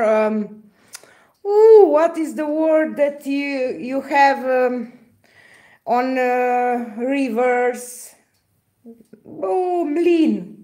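A woman from Slovenia speaks English with a Slovenian accent, very slowly and a little high. She talks in a halting, casual way, often pausing to search for words. She is recorded indoors at a craft table by a camera mic above it. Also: darkish tone. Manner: halting, searches for words, frequent fillers and laughs. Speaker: acrylic pouring artist hosting a live stream. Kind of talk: casual live-stream chat reading viewer comments.

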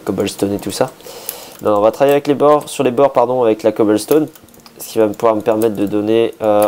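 A man with a hoarse voice, a bit sick, casually talking through a building project, thinking aloud with many hesitations and restarts. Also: fairly low pitch, dark tone. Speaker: Minecraft builder.

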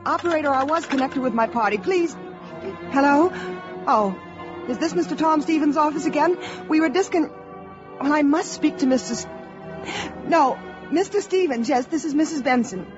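A woman's voice: sits high, moderate pace, music in the background, lightly acted. An actress playing an earnest, anxious woman in a 1950s radio drama, urgent and pleading. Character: earnest, anxious, principled American woman, grown mother. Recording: dull vintage 1950s radio recording.

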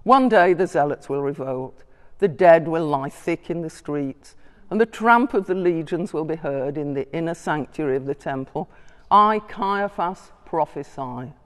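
An older woman reading aloud as a scheming male high priest from a radio play, slow and solemn, in a church with long reverb. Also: animated. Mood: prophetic.

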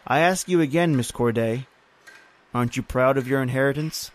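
A man acts out a grim overseer in an old-time radio horror tale. His speech is folksy and bitter, with a taunting edge, and sits at an ordinary male pitch. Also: normal speed, lightly acted. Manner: folksy, bitter, uses "aye" and "ain't". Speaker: actor in audio horror drama.

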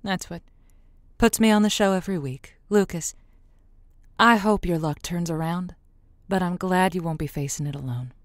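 A woman's voice: lowish voice, moderate pace, no room sound, studio quality, natural voice. A woman playing a sympathetic, folksy advice host on a radio drama, with a reassuring way of talking. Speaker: radio call-in show host. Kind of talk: scripted radio advice show.

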